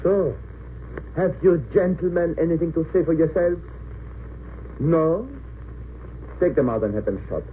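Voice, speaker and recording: Guy playing icy german officer judge in old radio play, curt, bossy, high voice, tinny noisy. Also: unhurried, long pauses, lightly acted. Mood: cold, contemptuous. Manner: curt, authoritarian. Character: cold, imperious German military officer and court judge. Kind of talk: 1930s radio drama acting.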